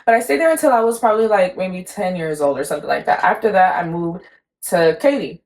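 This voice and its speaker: Chatty young woman telling stories in quick bursts. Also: lowish voice, lively intonation, darkish tone. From Puerto Rico. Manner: chatty storyteller, swears casually, quick bursts. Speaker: podcast co-host. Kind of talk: casual chat.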